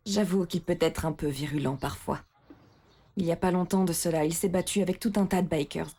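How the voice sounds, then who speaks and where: Woman doing worried anime mother, mellow husky voice, soft and polite. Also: on the low side, brisk. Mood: apologetic. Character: gentle, worried middle-aged mother, apologetic. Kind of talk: French anime fan dub.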